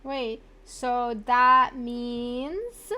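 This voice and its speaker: A woman's voice: fairly high pitch, steady tone, extremely slow, bright, forceful tone, slight background noise. A woman game streamer giving casual reactions as she plays. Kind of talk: casual let's play reactions.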